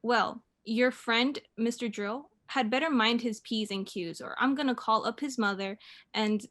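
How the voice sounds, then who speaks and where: Radio play mother: female actor, patient, mildly amused, unhurried, slightly high pitch. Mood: amused, indulgent. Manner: gently chiding, unhurried.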